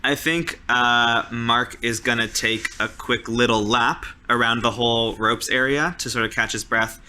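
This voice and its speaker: A man's voice: mid-range voice, fairly even intonation, unhurried, sharp tone, a little hiss or hum. A man improvising narration as a player in a tabletop roleplaying game, in a casual, informal way. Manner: informal, peppered with "like" and "sort of".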